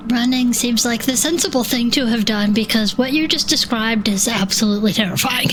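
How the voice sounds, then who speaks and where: A meek, earnest adventurer sidekick, played by a woman speaks in a fantasy audio drama. The voice is fairly high and timid, over clear background ambience. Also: fairly quick, close to an everyday voice. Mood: uneasy. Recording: clear ambient noise behind.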